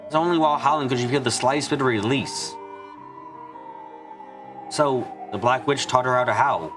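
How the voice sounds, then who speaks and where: A man giving an earnest amateur dramatic reading of a visual novel, halting for dramatic pauses. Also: medium pitch. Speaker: amateur fan dubber. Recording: music behind the voice. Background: background music.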